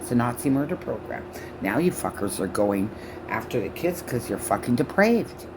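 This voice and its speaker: A woman in her sixties from Canada ranting loudly and profanely to camera on her own channel, her speech halting from false teeth. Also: extremely low pitch, sing-song, noisy background. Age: 60s. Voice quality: loud voice. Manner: shouting, profane, accusatory. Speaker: vlogger. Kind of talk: angry rant to camera.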